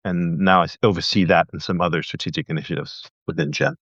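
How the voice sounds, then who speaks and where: A man in his thirties who grew up in Thailand, a podcast guest in casual interview chat, talking in a chatty, joking way with quick asides. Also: low voice, sing-song, creaky, fairly bright tone, no echo, muffled recording. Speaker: podcast guest, missionary leader.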